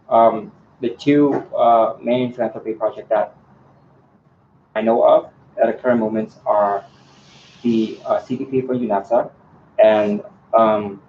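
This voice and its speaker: A man's voice: mid-range voice, slight background noise. A man answering candidate questions at a student election forum, hesitant and full of ums and restarts. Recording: echoey room, dull lo-fi sound.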